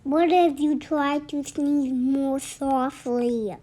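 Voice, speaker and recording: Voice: high voice, fairly even intonation, takes it slowly, very noisy. A reader voicing a caring pig friend in a children's storybook, asking short, concerned questions. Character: caring, chirpy pig, the elephant's best friend.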